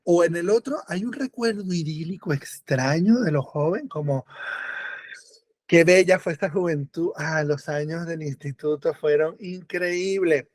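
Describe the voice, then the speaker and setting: A Venezuelan-born man chatting as a radio guest, animated and full of fillers and rephrasing. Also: fairly high pitch, unhurried, no room sound. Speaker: guest, book editor. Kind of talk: casual radio conversation. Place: remote call or studio, lives in Europe.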